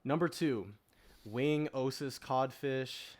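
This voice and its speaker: A man's voice: medium pitch, slow pace. Emphatic young man debating on a podcast. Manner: emphatic, assertive, argues from results. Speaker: podcast panelist. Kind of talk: casual opinionated debate.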